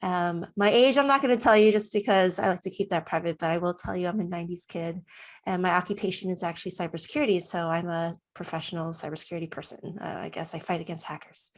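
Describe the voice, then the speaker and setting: A woman in her thirties giving a casual, self-recorded testimonial in a chatty, expressive way, often voicing her own thoughts. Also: fairly low pitch, fast talker.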